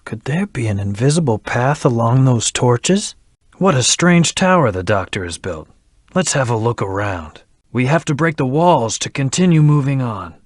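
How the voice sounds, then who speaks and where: Serious moody hedgehog antihero for a video game, terse n commanding, pitch moves a lot, super dry recording. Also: male voice, sing-song, moderate pace, no room sound. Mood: brooding, measured. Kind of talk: video game character voice lines.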